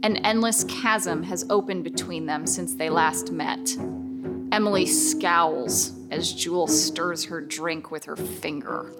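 A woman's voice: fairly low pitch, slow. Suspenseful woman narrator over music. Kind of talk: scripted horror narration.

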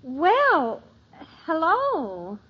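A woman playing an excitable, boy-struck American teenage daughter in a 1950s radio sitcom, gushing and dramatic. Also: extremely high pitch, drawn-out words, heavily acted. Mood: flustered, smitten. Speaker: actor in a family situation comedy. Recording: muffled old radio recording.

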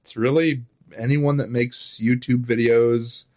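A man's voice: mid-range voice, fairly even intonation, measured pace, a little mellow. A man talking casually and off the cuff as a guest on a podcast interview. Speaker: podcast guest, audio drama creator and voice actor. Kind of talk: casual unscripted interview chat.

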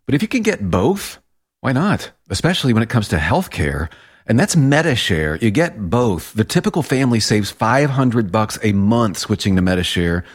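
A man's voice: lowish voice, sing-song, normal speed, slightly rough. A man speaking conversationally as the spokesman in a radio advertisement.

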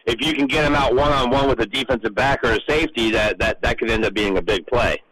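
A man in a casual sports radio discussion, talking haltingly and often repeating words as he works toward his point. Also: mid-range voice, a crowd in the background, rough recording. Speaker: sports radio show panelist.

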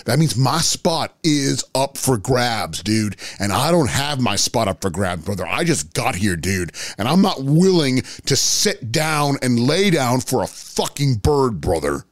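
A man's voice: mid-range voice, big pitch swings, unhurried, few pauses, dry recording, studio quality. A man doing a blustering, hotheaded wrestling star who ends nearly every phrase with brother or dude, swearing and indignant. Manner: says brother and dude constantly, swearing, agitated. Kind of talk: improvised comedy bit.

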